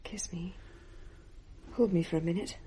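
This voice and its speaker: An actress playing a gentle, anxious, dutiful young woman in love in a radio play, soft and hesitant in manner. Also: on the low side, fairly even intonation, unhurried, noisy background, lightly acted. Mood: tender, yielding. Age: young adult. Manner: soft, hesitant, broken phrases.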